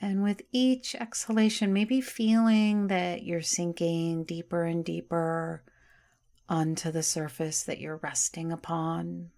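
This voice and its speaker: A woman leads a yoga nidra relaxation in a soft, soothing voice. She speaks very slowly, with long pauses between phrases. Her voice sits a little low for a woman. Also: flat delivery, creaky. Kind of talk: guided relaxation meditation.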